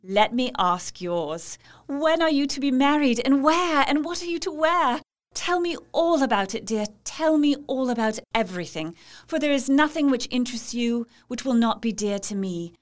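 A woman's voice: high voice, lively intonation, normal speed. A woman playing a devoted, cheerful young newlywed reading her affectionate, old-fashioned letter in an audio drama. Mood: fond, delighted.

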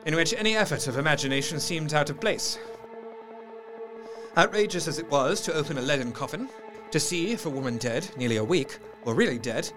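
An actor playing a troubled, sceptical gentleman doctor, narrating his diary in intense, formal literary diction for a gothic drama. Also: a little high. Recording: music under the voice. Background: music.

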